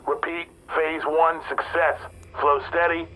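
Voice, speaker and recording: A male game henchman on the radio, forceful and sharp, fairly high for a man. He reports in clipped, procedural bursts, all business. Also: little pitch movement, takes it slowly, rough recording. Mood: terse. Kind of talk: video game voice acting.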